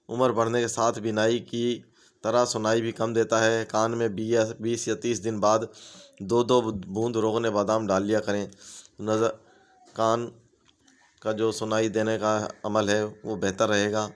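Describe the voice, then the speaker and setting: Male host, low and level voice, instructive reading of remedy tips. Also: clean tone. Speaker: home remedies channel host.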